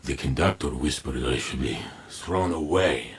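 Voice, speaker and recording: A man voicing a gentle, melancholy robotic warrior in a video game, speaking solemnly and haltingly as he doubts himself. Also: extremely low pitch, animated, breathy edge, full character voice. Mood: despairing. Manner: slow, halting, solemn and formal. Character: gentle, melancholy war machine man doubting his own worth. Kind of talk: scripted video game voice acting.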